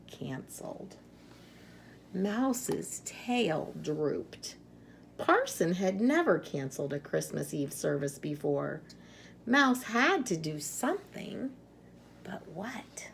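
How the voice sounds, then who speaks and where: A woman storyteller who reads a children's picture book aloud expressively, with dramatic pauses. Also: fairly low pitch, vocal fry, a little hiss or hum. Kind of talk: expressive read-aloud storytelling with casual introduction.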